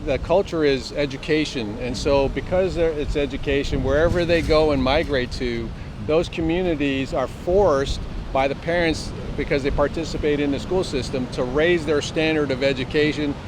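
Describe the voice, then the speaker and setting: A man explaining things conversationally as an interview guest. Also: normal speed. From Southern California, USA. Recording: clear outdoor rumble and wind noise.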